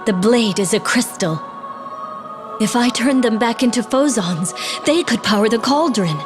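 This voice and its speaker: A determined young sorceress princess speaks over clear music in a fairly high voice. Her delivery is deliberate and incantatory, full of fantasy game drama. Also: animated. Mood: solemn resolve.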